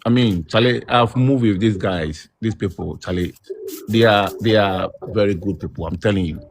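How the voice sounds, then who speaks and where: A man speaks as a guest in an online chat, his voice on the low side. He is earnest, circling back over the same points. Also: moderate pace, a little hiss or hum. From Ghana. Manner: earnest, repetitive, calling his listener "Charlie".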